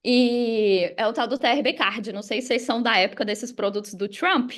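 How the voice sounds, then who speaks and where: A Brazilian woman telling a personal story casually as a podcast guest, animated and informal, often checking in with listeners. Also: on the high side, fairly quick. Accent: Brazilian Portuguese.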